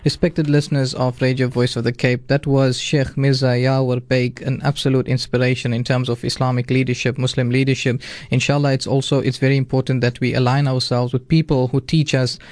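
A man's voice: medium pitch, little pitch movement, fairly quick, hardly any pauses, a little mellow, no echo. A man with a South African accent, hosting a radio interview in a courteous, formal way. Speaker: radio host.

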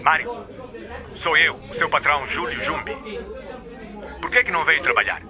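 Pompous angry boss, high voice, very animated, crowd noise behind. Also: male voice, normal speed, muffled recording. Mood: indignant. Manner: pompous, scolding. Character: pompous, indignant male boss, demanding and threatening. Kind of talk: radio drama acting.

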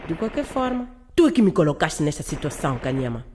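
Anxious, insistent aunt, animated pitch. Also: female voice, fast talker, a little hiss or hum. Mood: bitter, demanding. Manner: complaining, insistent. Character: anxious, resentful aunt hiding in a ruin. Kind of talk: radio drama acting.

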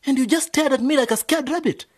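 An actor playing an exasperated, bossy music producer in a radio drama, scolding with emphasis in a husky voice. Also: male voice, extremely high pitch, fast. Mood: annoyed.

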